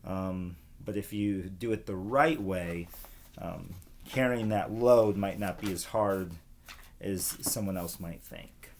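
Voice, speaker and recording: A man reading a children's book aloud like a teacher, pausing to explain and ask young listeners questions. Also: sits low, a little mellow, slight background noise.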